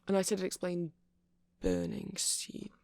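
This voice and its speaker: A man playing a nerdy, friendly vampire shopkeeper in an ASMR roleplay, soft-spoken and gravelly, rambling hesitantly as he explains tech. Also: high voice, very animated, a little slow, no echo, exaggerated voice. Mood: earnest, explanatory. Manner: rambling, hesitant, self-conscious geeky explaining.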